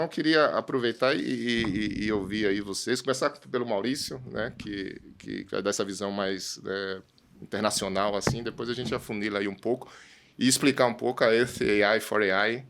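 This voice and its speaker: A man with a Brazilian Portuguese accent hosting a conversational business discussion in a halting way, often adding tag questions. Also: medium pitch, a bit bright. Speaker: host.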